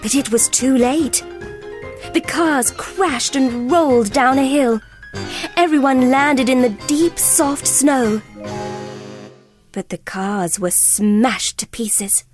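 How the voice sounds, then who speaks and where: A woman reading a children's storybook aloud as narrator, in an expressive, unhurried way. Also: a little high.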